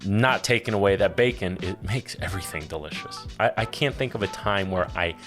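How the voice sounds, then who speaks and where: A man's voice: low voice, a little fast, soft background music. A middle-aged man, a professional chef, giving casual, profane, joking commentary as he reacts to a cooking video. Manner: blunt, profane, animated and joking.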